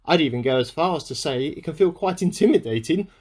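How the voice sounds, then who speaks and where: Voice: medium pitch, lively intonation, fast talker, a little mellow, slight background noise, natural voice. An actor in a radio play playing a bemused amateur scientist who keeps a spoken diary, musing aloud in an earnest, slightly formal and self-questioning way. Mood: puzzled, matter-of-fact. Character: bemused amateur scientist keeping an audio diary, increasingly suspicious.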